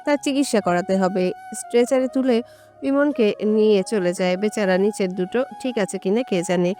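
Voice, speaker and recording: Mid-pitched woman narrating a story. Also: normal speed, mellow, soft background music. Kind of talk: scripted romantic audio story narration.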